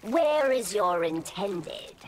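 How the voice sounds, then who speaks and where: A woman playing a haughty, threatening villain in a video game, deliberate and menacing. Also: mid-range voice, lively intonation, slight background noise. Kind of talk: video game character dialogue.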